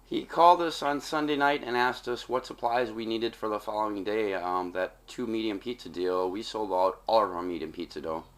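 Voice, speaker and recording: Deep-voiced American man, aggrieved. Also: normal speed, hardly any pauses, fairly bright tone. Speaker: former pizza store employee. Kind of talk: phone conversation. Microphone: telephone call.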